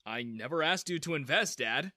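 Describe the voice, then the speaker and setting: A man plays a determined eighteen-year-old in a narrated story. He is earnest and defiant, with a high, bright, animated voice. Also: normal speed, dry recording, clean studio sound.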